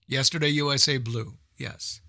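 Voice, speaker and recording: A man's voice: mid-range voice, steady tone, normal speed, creaky, bright, forceful tone, dry recording. Informal male radio host, dry close sound. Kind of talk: casual unscripted programme announcements.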